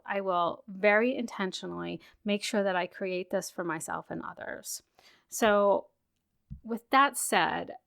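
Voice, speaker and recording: A woman's voice: mid-range voice, vocal fry, fairly bright tone, clean tone. Woman hosting a wellness podcast, chatty and encouraging, lots of "like", voice a bit worn out. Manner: chatty, many "like" fillers, encouraging. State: losing her voice after a virus. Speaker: podcast host. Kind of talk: casual solo podcast talk.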